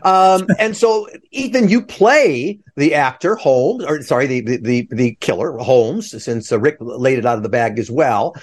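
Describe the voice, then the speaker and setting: A man's voice: mid-range voice, expressive, unhurried, few pauses. A man hosting a podcast interview with enthusiasm and joking asides. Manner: jokey asides. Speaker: podcast host.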